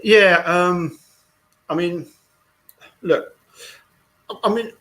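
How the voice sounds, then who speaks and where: Rambling chatty man, high voice. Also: very slow, fairly bright tone, low-quality recording. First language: English. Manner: rambling, repeats words, chuckles often. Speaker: live chat host. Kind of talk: casual chat.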